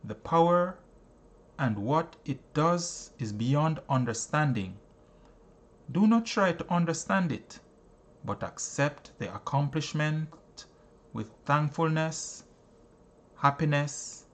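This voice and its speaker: A man narrating a self-help book in a solemn, deliberate, instructive way. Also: on the high side, expressive. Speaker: audiobook narrator.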